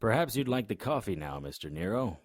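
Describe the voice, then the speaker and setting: A man's voice: on the low side, big pitch swings, fairly quick, no room sound. A man narrating and acting the lead in a noir audio drama, dry and wry in manner.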